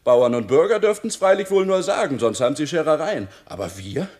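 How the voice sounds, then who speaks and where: An actor playing a naive, boastful young shepherd in a comic radio play, full of self-importance. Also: male voice, on the high side, expressive, brisk, a little mellow, close to an everyday voice. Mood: grand, earnest.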